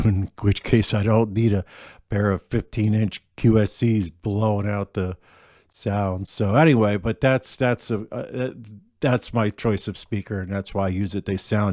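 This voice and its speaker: A middle-aged man with a deeper voice giving a relaxed, rambling talk about his gear, full of ums and restarts. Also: no room sound, studio quality. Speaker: gigging acoustic musician. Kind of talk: casual gear rundown.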